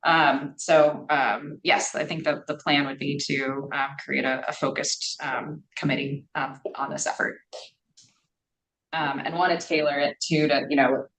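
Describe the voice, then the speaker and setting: A woman with a low voice presents to a port board of commissioners. She speaks in a measured, careful way, padding her sentences with ums. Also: flat delivery, a bit bright, low-quality recording. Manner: measured, frequent ums and hedging fillers. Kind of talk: public meeting presentation.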